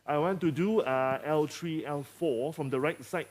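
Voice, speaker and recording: A male surgeon with a Malaysian English accent talks through a live procedure. His voice sits a little high. He speaks haltingly, with frequent fillers and small jokes. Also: a little mellow. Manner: halting, many fillers, jokey asides. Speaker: surgeon leading a live demonstration. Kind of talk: clinical case presentation.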